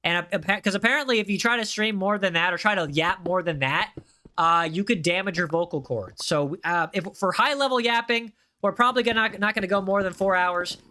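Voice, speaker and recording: A young male streamer with a high, bright voice talks to his live chat while he plays. He is casual and chatty and often says the same phrase two or three times in a row. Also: brisk.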